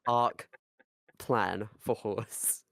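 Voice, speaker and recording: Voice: mid-range voice, steady tone, drawn-out words, creaky, dry recording. A podcast co-host who laughs a lot, swears, and teases playfully in casual chat.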